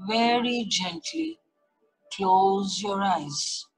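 A woman leading a guided meditation, giving gentle, unhurried instructions in short repeated phrases with long pauses. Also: medium pitch, animated, low-quality recording. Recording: music behind the voice. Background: meditation music.

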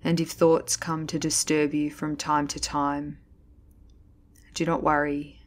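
Low, even-voiced woman guiding meditation. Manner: calm, soothing, slow, deliberate pauses. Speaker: yoga nidra instructor. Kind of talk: guided meditation, scripted narration.

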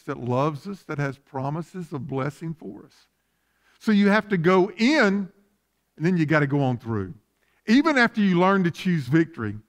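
A man in his fifties, a pastor preaching a sermon in an emphatic, conversational way, repeating phrases for weight. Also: high voice. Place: church sanctuary, at a lectern on the platform.

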